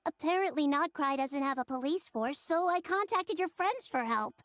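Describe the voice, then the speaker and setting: Very high, concerned young girl. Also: lively intonation, moderate pace, background noise. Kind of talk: video game voice acting.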